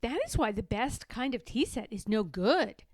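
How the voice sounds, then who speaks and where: Storybook schemer, voiced by a woman: boastful little girl, high voice, knowing and persuasive delivery. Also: animated, normal speed, dry recording, clean studio sound. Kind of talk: children's storybook read-aloud.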